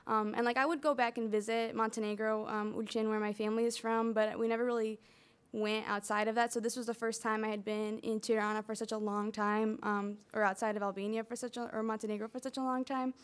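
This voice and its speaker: A woman's voice: fairly high pitch, little pitch movement, fast talker, clear, resonant tone. A woman in her early twenties from the United States, giving a prepared student speech at a podium with casual asides. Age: early 20s. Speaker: student speaker. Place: lecture hall, at a podium.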